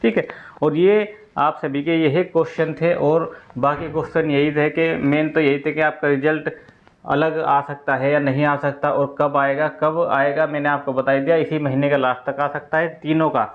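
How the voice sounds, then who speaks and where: A man's voice: a little high, little pitch movement, moderate pace, narrow, tinny audio. A man giving an informal explainer to students as a presenter, going step by step and repeating key points. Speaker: exam news presenter explaining updates to candidates.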